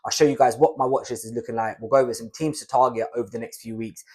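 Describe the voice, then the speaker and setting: Young male YouTuber, raspy from a cold, rapid casual delivery, mid pitch. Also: fairly even intonation, darkish tone. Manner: chatty, conversational, fast. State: croaky from a cold. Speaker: fantasy football YouTuber. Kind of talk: casual analysis to camera.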